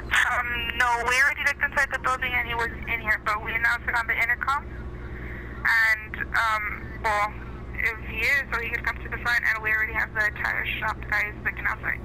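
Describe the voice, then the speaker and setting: A woman's voice: on the high side, level tone, brisk. A woman working at a business, taking a phone call politely and a little hesitantly. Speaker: truck stop employee taking a call. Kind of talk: phone conversation with a caller. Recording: noisy telephone line.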